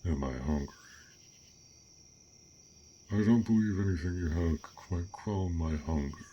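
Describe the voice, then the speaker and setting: Man voicing a slow, deep, courteous dragon. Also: dark tone, highs cut off, a little hiss or hum. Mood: musing, courteous. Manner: formal, old-fashioned wording, unhurried and pensive. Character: gentle, courteous, curious male dragon, formal and archaic. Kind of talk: scripted roleplay audio drama.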